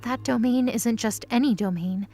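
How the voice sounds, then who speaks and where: A woman's voice: fairly high pitch, moderate pace, dark tone, faint music behind. A woman narrating a scripted present-tense mythology drama.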